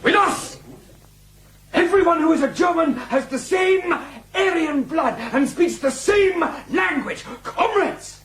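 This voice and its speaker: A fanatical male demagogue in a radio drama, pitched high and swinging widely as he declaims to a meeting. He is a hard nationalist ex-soldier, with clear noise behind him. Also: expressive, takes it slowly, exaggerated voice. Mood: frenzied. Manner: declamatory, builds to shouting. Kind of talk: radio drama political oratory.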